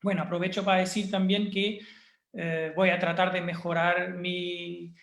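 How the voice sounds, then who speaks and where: A man's voice: sits high, little pitch movement, creaky, fairly bright tone. Man lecturing in an echoey room. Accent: Chilean Spanish. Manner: thoughtful, pauses with fillers and restarts. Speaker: university lecturer. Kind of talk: online course presentation. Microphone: video call.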